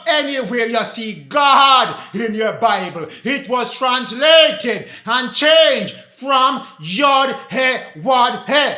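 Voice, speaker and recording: A male preacher with a very high, bright voice delivers a fervent sermon in a roomy, echoing space. He is confrontational and declaratory, hammering phrases through repetition and often pushing into a shout. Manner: confrontational, addressing listeners directly. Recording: echoey, roomy sound.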